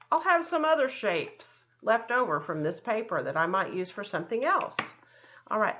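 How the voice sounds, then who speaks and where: A woman's voice: mid-range voice, bright, forceful tone. A woman art teacher giving children a step-by-step craft lesson in simple, encouraging words, often thinking aloud, on a dull lo-fi recording.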